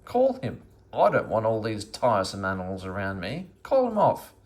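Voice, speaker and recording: A man's voice: lowish voice, huge pitch swings, normal speed, a little mellow. A man voicing a flustered, grumpy bumbler who protests and scolds in a children's storybook. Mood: shouting, annoyed.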